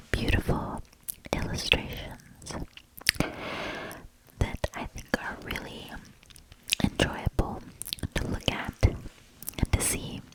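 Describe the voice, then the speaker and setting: A woman reading a storybook aloud for ASMR in a breathy whisper, gentle and deliberate, lingering between short phrases. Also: rough recording.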